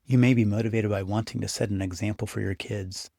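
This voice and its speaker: A man narrating a scripted guided meditation as the guide, speaking in an unhurried, encouraging, instructive way. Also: lowish voice, steady tone, gravelly, mellow, no echo, studio quality. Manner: unhurried, instructive, encouraging second-person address.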